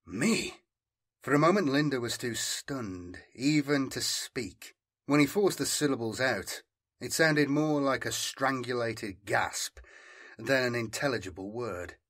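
Slightly husky male audiobook narrator. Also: medium pitch, unhurried, fairly bright tone. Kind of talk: scripted dramatic fiction narration.

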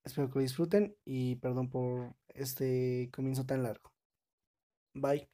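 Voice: mid-range voice, steady tone, unhurried, dark tone. A fan dub creator giving a casual, rambling, informal introduction as host.

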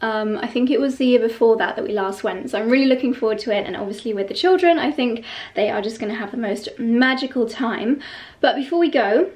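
A woman in her thirties, vlogging to a close camera in a bright bedroom, chatty and gushing, a little stuffy from a cold. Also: fairly high pitch, a little fast. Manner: gushing and chatty, with light laughs. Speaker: lifestyle vlogger. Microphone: camera held close.

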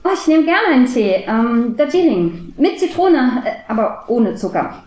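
A woman playing a polite interviewer, high roomy voice. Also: very animated, normal speed, darkish tone. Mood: polite, curious. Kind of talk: scripted comedy audio play.

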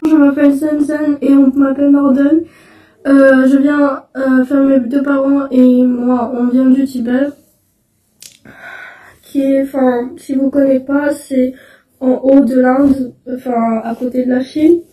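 A teenage girl, crying and halting as she tells her story to a phone camera indoors. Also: sits high, little pitch movement, dark tone, echoey room, far from the mic, poor audio. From Belgium. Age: teens. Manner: tearful, halting, upset. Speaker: student. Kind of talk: selfie video testimony. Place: indoors, small room. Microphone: phone held close, front camera.

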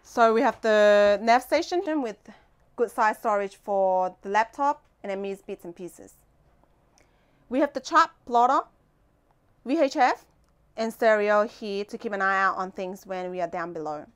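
A woman's voice: medium pitch, unhurried, clear, resonant tone. A young woman giving a casual guided tour as a host, speaking into a clip-on mic inside a small boat cabin. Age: young adult.

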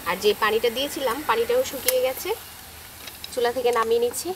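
A woman narrates a home cooking video, moving briskly from one step to the next with a calm, explanatory manner. Her voice sits a little high, and a steady noise runs under the recording. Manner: calm, explanatory, gives tips. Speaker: cooking channel host. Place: outdoors on grass, cooking on a stove.

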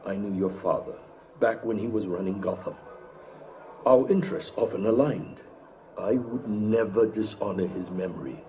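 A man acting a cagey older crime boss who owns a casino in a video game, formal, measured and politely evasive. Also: on the low side, dark tone, lightly acted. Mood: confiding, wary.

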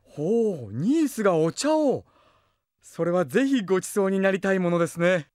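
A man playing a gentle, courteous mage and father in a Japanese fantasy audio drama, speaking politely and mildly. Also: high voice, big pitch swings, normal speed, no room sound, studio quality. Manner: polite, soft-spoken, mild. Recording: music behind the voice.

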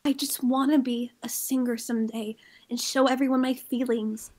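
A woman's voice: sits high, flat delivery, moderate pace, a little mellow. A woman voicing an earnest, hesitant, lonely young dreamer in a comedic cartoon fandub. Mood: wistful. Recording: music behind the voice.

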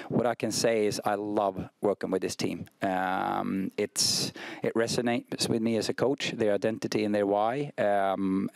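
A man in his forties with a Swedish accent, answering questions as head coach at a press conference, measured and restarting often. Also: on the low side, darkish tone, no room sound. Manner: measured, frequent restarts and ums. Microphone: desk mic on stand.

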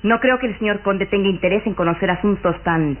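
An actress playing a nervous, well-bred young daughter, polite and pleading, in an old radio drama. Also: female voice, medium pitch, a little fast, lightly acted. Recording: tinny, noisy old radio recording.

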